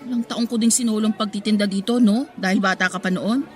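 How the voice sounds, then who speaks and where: A woman's voice: medium pitch, steady tone, fast talker, lightly acted. A woman plays a hard-working market vendor and mother in a Tagalog radio drama. She pleads and scolds in an exasperated, motherly way, with faint music underneath. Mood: scolding.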